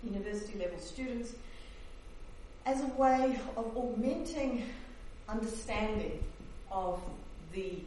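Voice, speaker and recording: A woman's voice: on the high side, lively intonation, unhurried, dark tone. A woman historian, Dutch-born and raised in New Zealand, presenting her book in an academic talk, hesitant with many fillers and restarts. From Netherlands, raised in New Zealand. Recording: echoey hall, distant mic, faint hiss.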